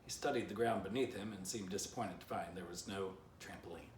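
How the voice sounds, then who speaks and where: A man with a fairly low voice reads a children's story aloud, chatting playfully to the listener. The recording is echoey and a bit distant from the mic, with faint noise. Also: a little fast. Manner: playful direct address to the listener. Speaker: author reading aloud.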